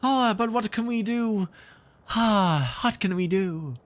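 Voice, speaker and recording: Storybook lost boy, young, high-pitched, frightened, pleading, urgent. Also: takes it slowly. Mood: helpless alarm. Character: frightened young boys crying for help.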